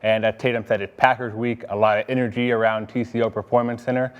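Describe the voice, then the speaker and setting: Mid-pitched man, sports reporter. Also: little pitch movement, fast, clean tone, muffled recording. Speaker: team reporter and panelist. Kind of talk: conversational sports analysis.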